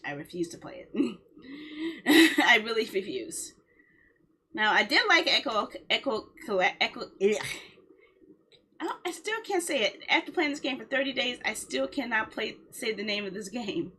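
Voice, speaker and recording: A woman chats casually as a game streamer on a livestream. Her voice sits a little high and is bright, with a husky edge. She rambles along in a playful manner. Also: big pitch swings, moderate pace.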